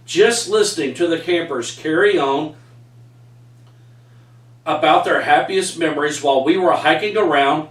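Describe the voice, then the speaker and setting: A man's voice: fairly high pitch, far from the mic. A middle-aged man with a Texan accent reading his own story aloud in a folksy way, recorded by a camera across a room at home. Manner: folksy storyteller, says y'all. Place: home room with framed pictures, indoors. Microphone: far camera. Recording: echoey room, voice from afar.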